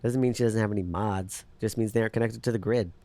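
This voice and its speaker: A man's voice: on the low side, fairly even intonation, fast, warm tone, close to an everyday voice. A man acting in an audio drama as a wary, blunt underground tech leader who talks in a terse, dry, probing way. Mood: skeptical.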